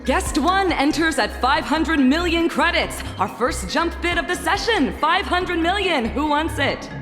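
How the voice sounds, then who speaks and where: A poised formal auctioneer. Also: female voice, sits high, lively intonation. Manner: formal, measured announcing. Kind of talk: video game cutscene voice acting. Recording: studio voice with long reverb and music.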